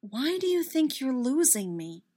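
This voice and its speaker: A woman's voice: sits high, very animated, unhurried, dark tone, pitched above the performer's own voice, full character voice. A woman voicing a loving, firm, earnest woman in an audiobook story, speaking with insistent emphasis.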